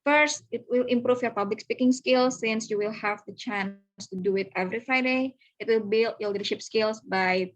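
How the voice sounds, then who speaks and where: A woman's voice: a little high, normal speed, hardly any pauses, muffled recording. A woman, earnestly walking listeners through slides in an online club presentation over a video call. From Indonesia. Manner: earnest, welcoming, talks through slides step by step.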